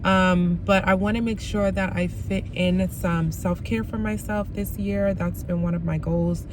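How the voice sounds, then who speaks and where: Woman vlogger, slightly raspy, lowish voice, rambling filler-heavy chat, flavor, car noise behind. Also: fairly even intonation, a little slow. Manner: rambling, many ums and likes. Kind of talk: casual vlog chat.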